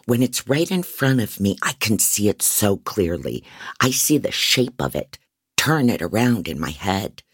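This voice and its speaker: An actress playing a scattered, jaded woman private detective who narrates bluntly in a deep, husky voice, as in a noir audio drama. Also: sing-song, a little slow, no room sound, clean studio sound, full character voice. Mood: weary, frank. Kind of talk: first-person scripted noir narration.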